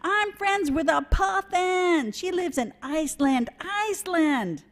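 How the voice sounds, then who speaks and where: A woman voicing an excitable, nerdy young male bird in a children's story read aloud, eager and chirpy. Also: extremely high pitch, takes it slowly, pitched above the performer's own voice, exaggerated voice. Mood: excited.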